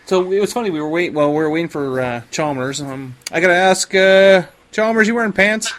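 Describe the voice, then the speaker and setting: A man's voice: sits high, brisk, fairly bright tone. A man hosting a podcast, chatting casually as he interviews his guests over some background noise. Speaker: podcast host.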